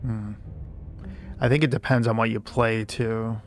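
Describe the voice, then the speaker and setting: A man with a raspy voice streaming a game, chatting in a relaxed, rambling way with frequent restarts. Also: mid-range voice, unhurried. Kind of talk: casual gameplay commentary. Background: game music.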